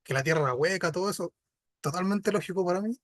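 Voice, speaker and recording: A man with a Chilean accent, a guest author introducing himself in a relaxed, self-deprecating way. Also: high-pitched, fast talker, dry recording, clean studio sound.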